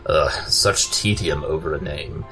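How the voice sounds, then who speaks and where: Man as pompous pony detective, low voice, precise, clever, cartoon audio drama, faint music behind. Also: a little slow, bright, forceful tone, full character voice. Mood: disdainful. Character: haughty, clever male pony detective with hidden soft side.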